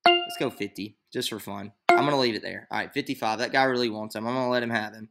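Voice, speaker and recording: A man's voice: fairly low pitch, steady tone, quick pace, creaky, loud music behind the voice. A young man explaining a draft on screen in a casual, rambling way, full of fillers, recorded at his computer in a small room. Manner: rambling, filler-heavy, thinks aloud. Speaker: fantasy league tutorial maker. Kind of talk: casual screen-share tutorial.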